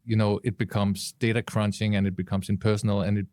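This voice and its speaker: A man with a somewhat low voice, recorded dry and close. He speaks conversationally on a podcast panel, reasoning aloud and stating his opinions. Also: flat delivery, quick pace, darkish tone, no room sound.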